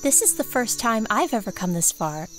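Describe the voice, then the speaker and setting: An actress playing a gentle, earnest dye maker who gathers plants, speaking politely in a fantasy audio drama. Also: female voice, fairly high pitch, big pitch swings, moderate pace, soft background music. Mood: earnest reassurance. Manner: polite, admiring, reassuring.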